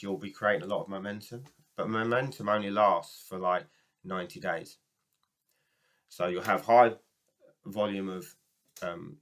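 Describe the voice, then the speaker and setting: A man from the UK talks casually to camera about business, his voice very low and husky from a cold. He rambles a little, with fillers and restarts. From United Kingdom. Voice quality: husky from a sore throat. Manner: rambling, many ums and restarts. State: has a cold and sore throat. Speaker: network marketing business coach.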